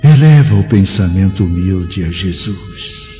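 Casting: reverent, kindly spirit played by a man for radio drama, low and dark-toned. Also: animated. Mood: solemn, tender. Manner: solemn, measured, reverent. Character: solemn, benevolent male spirit guide announcing heavenly glory. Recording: dull, long reverb, faint music.

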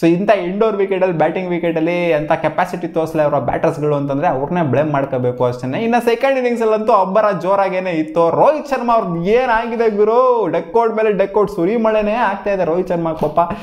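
A young man gives an excited, slangy cricket match breakdown from a small home studio, waving his hands as he talks. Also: high-pitched, quick pace, hardly any pauses, reverberant. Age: young adult. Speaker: cricket YouTuber. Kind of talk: post-match analysis vlog. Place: small home studio with gaming chair and LED lights.

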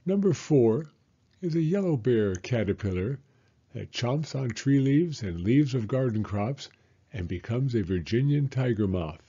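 Older guy in his 60s doing kids storytime, husky and mellow, slightly muffled sound. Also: mid-range voice, expressive, measured pace. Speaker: story reader and host, elementary school teacher. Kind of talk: children's picture-book read-aloud.

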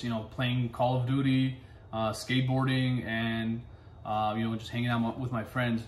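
A young man sitting at home, telling his own story to camera in a relaxed way with lots of fillers. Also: medium pitch, a little hiss or hum. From Pakistan, raised in the United States. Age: young adult. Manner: relaxed, many fillers, gestures with hands while talking. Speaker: medical resident vlogger. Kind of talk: casual personal storytelling to camera. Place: living room at home, indoors. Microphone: camera in front, seated. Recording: echoey room.

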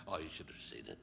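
An actor playing a bitter, defiant, deranged old survivor in a video game cutscene, halting and theatrical, lapsing into whispers. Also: male voice, background noise, natural voice. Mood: bitter, gloating. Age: older.

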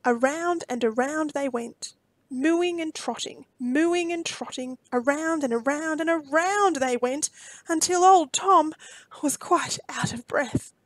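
A woman reading a children's storybook aloud expressively, with deliberate phrasing and dramatic emphasis. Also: high-pitched, no echo.

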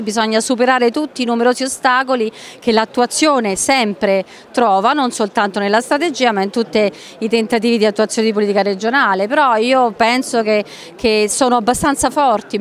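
A middle-aged woman, an official, answering in an interview into a handheld microphone. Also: a little high. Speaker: government committee official, interviewee. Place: busy conference exhibition hall.

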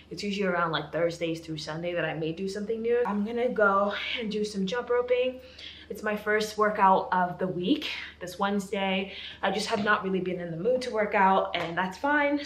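A young woman in her twenties chatting casually to camera in a vlog, animated and laughing often. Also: mid-range voice, echoey room. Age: 20s. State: hoarse, losing her voice. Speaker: vlogger, law student. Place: apartment bedroom, indoors.

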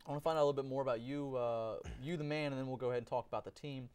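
A man's voice: medium pitch, fairly even intonation, very fast, darkish tone, clear, resonant tone. A man hosting a sports show, asking rambling interview questions with many restarts.

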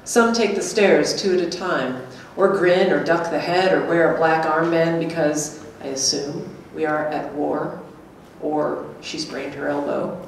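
A woman's voice: sits low, fairly even intonation, unhurried, creaky, hardly any pauses. A woman performing a wry, teasing, blunt character in a staged literary reading. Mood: measured reading. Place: small gallery room before an audience. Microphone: stand microphone, heard from a distant camera. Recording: echoey room, distant mic, faint noise.

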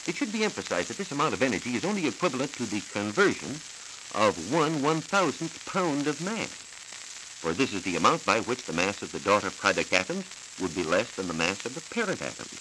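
An American man, a science reporter, explaining in a measured, formal, didactic way from a script on 1940s educational radio, on a hissy old transcription disc. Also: medium pitch, sing-song. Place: radio studio.

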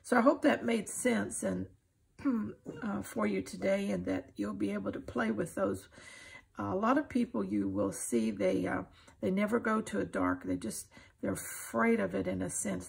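An older woman with a husky, gravelly voice, giving an informal, folksy and encouraging craft demonstration. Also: mid-range voice. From United States. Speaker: craft tutorial host. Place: indoors at a craft table.

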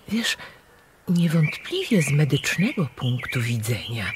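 An actress playing a breezy, gossipy well-to-do sister in a radio drama, chatting expressively. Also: female voice, low voice, noisy background, heavily acted.

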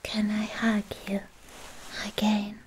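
A young woman playing a sweet, lovestruck anime demon slayer in a breathy, whispered ASMR roleplay, speaking softly and affectionately, very close to the microphone. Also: medium pitch, monotone, a little hiss or hum, close to an everyday voice, poor audio. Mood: shy, loving. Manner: slow, hushed, affectionate personal attention.

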